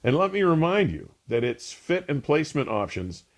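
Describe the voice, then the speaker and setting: A man's voice: on the high side, big pitch swings, normal speed. A man hosting a scripted audio gear review, speaking in an emphatic, polished, enthusiastic way. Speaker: audio equipment reviewer and show host. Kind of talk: scripted product review narration.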